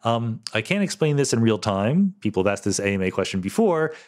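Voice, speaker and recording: A man's voice: on the low side, very animated, a little fast, a little mellow. A middle-aged man hosting a solo podcast, answering listener questions candidly with fillers like "um" and "you know". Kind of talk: solo podcast Q&A, thinking aloud.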